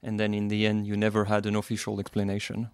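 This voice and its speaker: A man's voice: fairly low pitch, completely flat delivery, quick pace, a little mellow, clear, resonant tone, dry recording, clean studio sound. A man hosting a podcast, asking long, winding interview questions. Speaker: podcast host. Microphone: podcast microphone.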